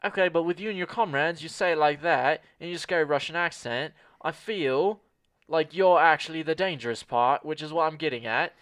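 A man in an improv comedy scene playing a cocky, clueless American who pitches a spy movie, rambling and brash and talking over people. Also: fairly quick, a bit bright, no echo, close to an everyday voice.

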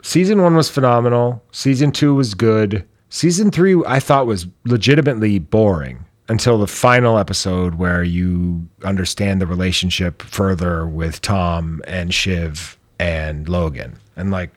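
A man's voice: low-pitched, a little slow, hardly any pauses, no echo, studio quality. A man co-hosting a podcast, chatting casually in a laid-back, complaining way and swearing freely. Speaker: podcast co-host. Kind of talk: casual chat.

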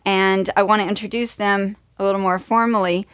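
A woman's voice: mid-range voice, fairly even intonation, a little fast, fairly bright tone, clean tone, no room sound. A woman hosting a radio show from a studio, introducing segments and interviewing guests.

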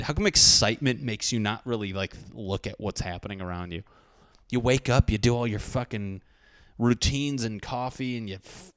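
A man's voice: medium pitch, fairly bright tone, dry recording, studio quality. A man in his thirties hosting a podcast alone, rambling casually with lots of swearing and self-deprecating jokes. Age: 30s. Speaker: podcast host. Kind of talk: casual solo podcast monologue.

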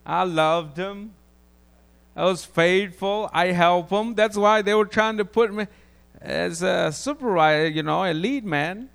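A man from Guatemala with a Guatemalan Spanish accent, giving an informal testimony sermon, halting and repeating words as he goes. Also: high-pitched, creaky, fairly bright tone. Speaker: preacher.

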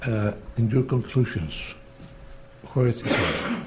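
A man's voice: mid-range voice, expressive, unhurried, heavy background noise. A man asking a polite, formal question from the audience.

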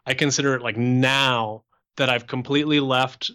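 Bright-voiced young man in conversation. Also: medium pitch, moderate pace, clean tone. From Canada. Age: young adult. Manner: animated explaining, sociable extrovert. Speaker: interview guest, opera singer and musician. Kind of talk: conversational interview.

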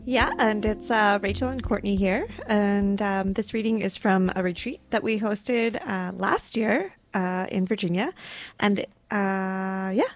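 Joking woman co-host, medium pitch. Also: unhurried, soft background music. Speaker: podcast co-host. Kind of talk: casual chat.

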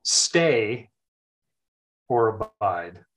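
A man's voice: on the low side, very animated, extremely slow, a bit bright. A middle-aged man talking casually about faith and scripture, thoughtful, with many fillers and restarts.

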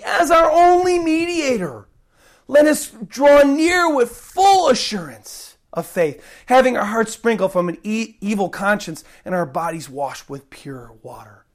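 Woman preacher, bit hoarse from a cold, high-ish voice, hammers the same point over and over. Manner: emphatic, repeats key points, swings between loud stresses and soft drops. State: recovering from a cold, losing her voice. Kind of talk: sermon.

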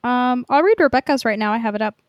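A woman's voice: on the high side, fast talker, no room sound, muffled recording. A woman chatting casually as a podcast co-host, in informal speech peppered with "like". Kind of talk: casual fan podcast chat.

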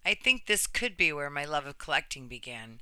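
A woman's voice: deep voice, big pitch swings, fairly quick, bright, forceful tone, smooth, dry recording, clean studio sound. A woman reading a personal written story aloud in an earnest manner. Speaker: reader of another person's true story.

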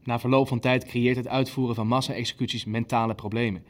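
An actor playing an impatient, ruthless Nazi official in a radio play, insistent and coldly matter-of-fact. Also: male voice, mid-range voice, steady tone, fast talker, lightly acted. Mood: grave, restrained. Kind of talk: dramatic dialogue in a radio play.

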